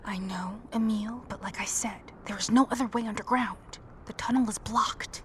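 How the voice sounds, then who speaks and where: A woman's voice: medium pitch, steady tone, normal speed, a bit bright, slight background noise, close to an everyday voice. An actress playing a tough, resolute woman survivor in an audio drama, terse, firm and practical. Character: tough, resolute combat-trained woman survivor leading companions. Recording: faint background ambience.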